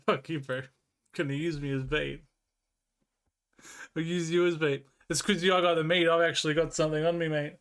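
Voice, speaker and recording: A man's voice: fairly high pitch, fairly even intonation, fairly quick, pauses often, a bit bright, clean tone. An Australian man streaming live, rambling casually as he reads and answers his chat and swears freely.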